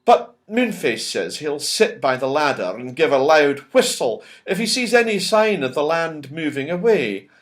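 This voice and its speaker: A man doing a sweet high fairy girl. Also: big pitch swings, moderate pace, hardly any pauses.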